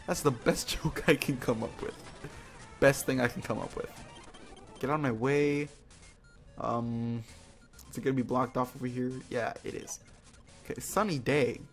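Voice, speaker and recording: Male gaming host, mid-pitched, casual and self-mocking with light swearing, game music underneath. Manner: jokey, self-deprecating, informal with mild swearing. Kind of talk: casual gameplay commentary. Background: video game music.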